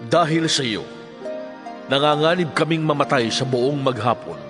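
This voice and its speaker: A man's voice: mid-range voice, normal speed. A man reciting a scripture quotation in a dramatized style. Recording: long cavernous reverb. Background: music.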